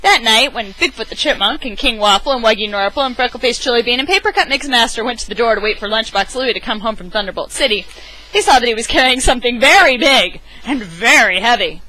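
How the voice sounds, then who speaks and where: A woman narrates a children's picture book with dramatic flair. Her voice is bright and rather high, moving up and down in an animated read-aloud style. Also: quick pace, low-quality recording. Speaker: storybook reader. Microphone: webcam.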